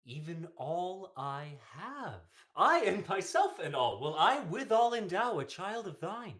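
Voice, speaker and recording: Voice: mid-range voice, big pitch swings, measured pace, a bit bright. A young actor playing a scheming, proud and persuasive usurper king in a Shakespearean radio play, in emphatic formal verse. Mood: sly wooing. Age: young adult. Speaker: student actor.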